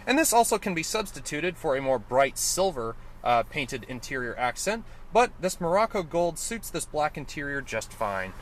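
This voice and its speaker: Enthusiastic male car reviewer, fairly high and animated, faint outdoor hum. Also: normal speed, few pauses. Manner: enthusiastic, detailed, occasional self-corrections. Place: inside and around a car, outdoors.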